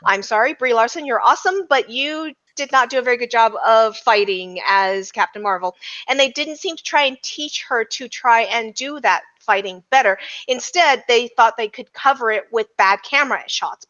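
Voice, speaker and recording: A woman's voice: fairly high pitch, a bit bright. A woman giving earnest, opinionated takes in a casual roundtable film discussion. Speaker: podcast panelist. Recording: slightly dull, lo-fi audio.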